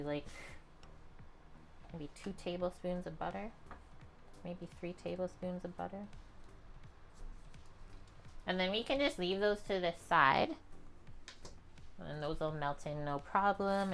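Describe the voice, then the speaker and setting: A young woman with a calm, chatty manner, walking viewers through a home cooking session in her kitchen. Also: low voice, creaky, a little hiss or hum. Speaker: cooking streamer. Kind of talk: casual cooking demonstration.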